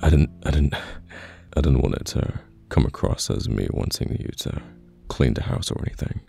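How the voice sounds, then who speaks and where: Super deep gravelly boyfriend, a guy acting it, asmr pillow talk, gentle n reassuring, soft music behind. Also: normal speed, exaggerated voice. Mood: earnest, reassuring. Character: caring, patient boyfriend reassuring a new live-in partner. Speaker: voice actor in an audio roleplay. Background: soft piano or guitar music.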